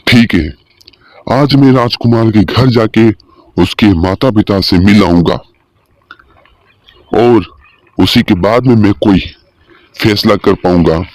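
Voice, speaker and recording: A man's voice: on the low side, slow pace, pauses often, a little mellow, no room sound. A man playing a rich village landlord, a stern, authoritative but doting father in a cartoon moral story. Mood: reluctant.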